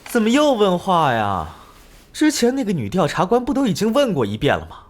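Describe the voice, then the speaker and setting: An actress playing a brazen, flirtatious, sharp-tongued female assistant in an animated crime drama, with a sassy, gossipy drawl. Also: mid-range voice, a little fast. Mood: annoyed.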